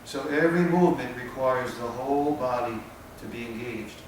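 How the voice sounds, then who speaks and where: Patient man teaching from across an echoey room. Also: mid-range voice. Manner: plain, patient explaining with pauses. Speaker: tai chi instructor. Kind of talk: spoken instruction while demonstrating. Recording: echoey, distant with background noise.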